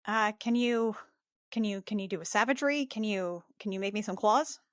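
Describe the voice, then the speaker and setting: A woman playing an anxious, caring, emotionally frayed female spacefarer in a tabletop game, speaking haltingly and repeating words. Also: mid-range voice, no room sound, clean studio sound, natural voice. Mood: nervous.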